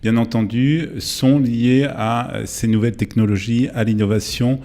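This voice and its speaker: Man, show host, studio interview, mid-range pitch, hesitant phrasing. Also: steady tone, unhurried, dark tone. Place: broadcast studio.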